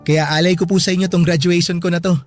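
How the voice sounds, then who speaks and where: An actor playing a grateful, respectful young adult son in a sentimental radio drama, earnest and polite, with a Filipino accent. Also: male voice, high-pitched, fairly even intonation, quick pace, lightly acted. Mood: earnest gratitude. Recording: music under the dialogue.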